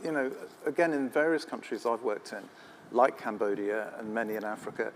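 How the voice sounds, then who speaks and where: A man's voice: medium pitch, brisk. Man explaining on a panel, faint noise. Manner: explanatory, anecdotal. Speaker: investigator and panelist. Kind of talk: conversational panel discussion. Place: live panel event.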